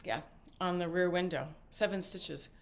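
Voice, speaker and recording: A woman acting a talkative, evasive and devoted mother in a stage play, with a halting, theatrical delivery. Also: fairly low pitch, a little hiss or hum, natural voice. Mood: rambling, indignant. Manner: halting, rambling, theatrical emphasis. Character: talkative, evasive mother and grandmother, fiercely devoted, just paroled.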